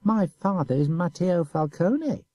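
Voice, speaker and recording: A man's voice: high-pitched, sing-song, moderate pace, mellow, husky, no room sound, voice pushed up, exaggerated voice. A man voicing a sly young boy who mocks the soldiers with a pert, sneering manner. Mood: sly mocking. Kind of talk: audiobook character voice.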